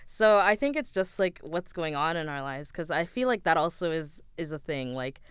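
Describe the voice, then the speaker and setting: A young woman from Ethiopia on a podcast, talking in a casual, measured way with small hesitations. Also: sits low, animated, smooth, dry recording, clean studio sound. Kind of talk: casual group chat.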